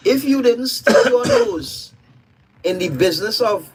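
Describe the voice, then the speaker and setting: A man's voice: very high-pitched, huge pitch swings, slow, creaky, a crowd in the background, low-quality recording. A man from Trinidad and Tobago with a Trinidadian accent, giving outspoken, opinionated commentary on a live stream.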